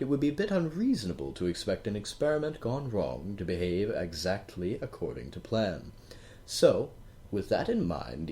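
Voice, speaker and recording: A man's voice: on the low side, warm tone, slight background noise. A man narrating a storybook, slipping into playful, teasing asides to the listener. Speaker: audiobook narrator. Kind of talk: storybook reading.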